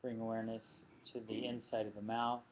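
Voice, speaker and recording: A man leading a guided yoga nidra meditation as instructor, speaking unhurriedly in short phrases with long pauses. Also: fairly low pitch, level tone. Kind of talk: guided meditation, slow body-scan instructions. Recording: muffled lo-fi recording with background noise.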